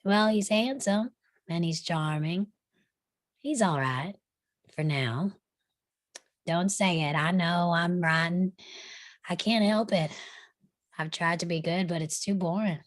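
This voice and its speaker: Bold flirtatious frontier woman, low close voice actress. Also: slow, long pauses, no echo. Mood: playful, candid. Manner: teasing, self-assured. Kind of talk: live table read acting of a western screenplay.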